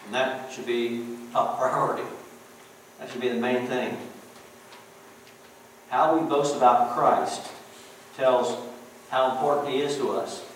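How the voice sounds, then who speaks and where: A man's voice: mid-range voice, steady tone, unhurried, long pauses, a little hiss or hum. Middle-aged male pastor, homespun conversational sermon, echoey distant church room. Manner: folksy, conversational preaching with chuckles and "you know". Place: church sanctuary.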